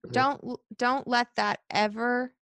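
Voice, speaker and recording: Young woman, high-pitched voice, energetic and chatty, quick laughs, dry close sound. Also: dry recording. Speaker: sports reporter. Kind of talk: interview answers, casual.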